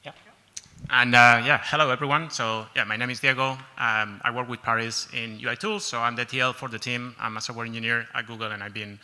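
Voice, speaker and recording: A man from Spain introduces himself to a conference audience in a relaxed way, dropping in "um" and "yeah". His mid-pitched voice is very bright and sharp. The long reverb of a large hall trails after his words. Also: a little fast. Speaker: software engineer presenting at a tech conference. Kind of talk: conference talk.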